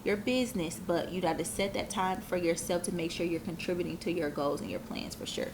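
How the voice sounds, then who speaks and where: A woman's voice: fairly low pitch, fairly quick, noisy background. A woman in her twenties, a business owner, talking earnestly and reflectively as an interview guest, with many filler phrases. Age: 20s. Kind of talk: casual interview talk.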